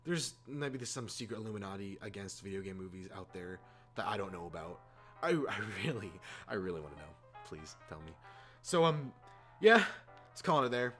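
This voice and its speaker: A man's voice: fairly low pitch, lively intonation, moderate pace, a bit bright. A man hosting a casual opinion rant, exasperated and informal, repeating words for emphasis. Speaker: YouTube host. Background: background music.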